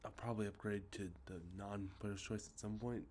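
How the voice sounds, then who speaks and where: A man with a congested, sniffly nose, talking softly and rambling, with many fillers, as an ASMR host showing off games he collected. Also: fairly low pitch, little pitch movement, normal speed, slight background noise. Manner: rambling, many ums and uhs, self-correcting. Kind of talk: soft-spoken show-and-tell about collected video games. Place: outdoors with traffic nearby.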